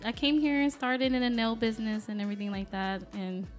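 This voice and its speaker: A woman's voice: a little high, flat delivery, a little fast, soft background music. A native Vietnamese-speaking woman from Alabama with a Southern US accent, chatting informally as a podcast guest. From Alabama, USA. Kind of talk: casual interview chat.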